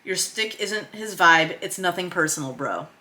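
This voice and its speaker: A woman reads the part of a lovable, dim himbo brother in a film script. He is breezy and laid-back, all casual dude slang, in a bright voice. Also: fairly low pitch, animated, moderate pace.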